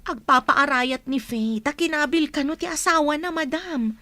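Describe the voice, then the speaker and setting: A woman's voice: high-pitched, moderate pace, sharp tone, no room sound. A woman playing a cautious, secretive young household helper in a radio comedy drama, earnest and urgent. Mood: hesitant, anxious.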